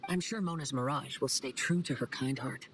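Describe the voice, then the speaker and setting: A man playing a calm, gentle young wanderer in a video game, soft-spoken, thoughtful and polite. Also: mid-range voice, moderate pace, very noisy, close to an everyday voice. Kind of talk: video game cutscene acting. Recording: clear music behind the voice.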